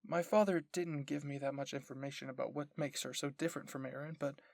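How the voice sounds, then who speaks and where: A man playing an earnest, hesitant young woman in an audio story, explaining haltingly. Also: mid-range voice, fairly even intonation, creaky, a little mellow, dry recording, close to an everyday voice.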